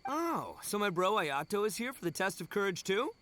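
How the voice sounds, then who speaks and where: A man's voice: high-pitched, very animated, moderate pace, very noisy. A man voicing a brash, boastful young oni with a big heart in a video game, talking in brotherly slang. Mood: excited.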